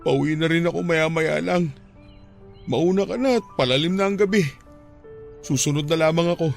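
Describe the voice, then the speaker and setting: A man's voice: fairly high pitch, moderate pace, gravelly, soft background music. An actor playing a heartbroken widowed father in a radio drama, talking tearfully, his voice breaking with grief. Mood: sorrowful. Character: grieving widowed father, middle-aged, heartbroken and tender.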